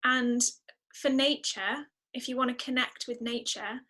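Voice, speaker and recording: High, bright-voiced young-sounding woman chatting. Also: measured pace. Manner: chatty, expressive, exclaiming. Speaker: podcast guest, musician. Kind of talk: casual interview chat.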